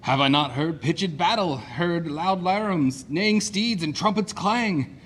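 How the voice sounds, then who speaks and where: A man playing a blustering, boastful gentleman fortune-hunter in a declamatory Shakespearean audio play. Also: a little high, unhurried, slight background noise. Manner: declamatory, swaggering.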